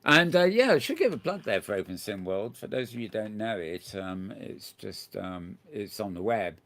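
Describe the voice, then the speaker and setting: A man's voice: fairly low pitch, big pitch swings, moderate pace, a bit bright. An older man hosting a casual chat show, rambling and restarting often as he tells a story. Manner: chatty, rambling, many restarts and stammers. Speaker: host.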